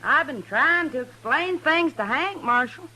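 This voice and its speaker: An actress playing a stubborn, plain-spoken hillbilly wife with a rural American twang in a radio western. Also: female voice, high-pitched, animated, measured pace, pushed and bright, heavily acted. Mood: matter-of-fact. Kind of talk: old-time radio western acting.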